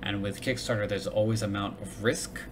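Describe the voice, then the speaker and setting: A man's voice: lowish voice, fairly even intonation, moderate pace. A man reviewing a crowdfunding page, thinking aloud in a casual way with fillers and restarts; he grew up with both English and Hebrew. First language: English and Hebrew bilingual. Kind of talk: casual solo commentary. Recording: noticeable background noise.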